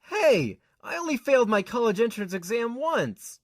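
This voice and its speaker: A man's voice: very high voice, sing-song, moderate pace, no echo, clean studio sound. A man playing an insecure, defensive young student in an amateur anime audio drama, earnest and a little whiny.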